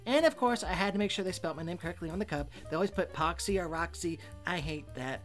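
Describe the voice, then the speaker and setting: A woman's voice: on the low side, lively intonation, fast talker, faint music behind, natural voice. A woman voicing a fussy, pompous imp in a cartoon comic dub. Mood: fussy, indignant. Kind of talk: fan comic dub acting with outtakes.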